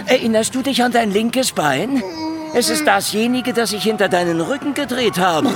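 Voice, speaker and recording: A man's voice: very high voice, animated, normal speed, background noise. A man playing a cunning, hard-drinking old private detective in a hard-boiled radio drama, taunting and wordy in his wisecracks. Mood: menacing, mocking. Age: older.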